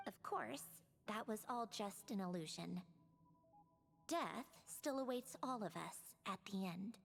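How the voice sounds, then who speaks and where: An actress voicing a calm, wistful young woman in a fantasy game, speaking in a soft, reflective, measured way. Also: medium pitch, creaky, a little hiss or hum. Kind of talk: game voice acting.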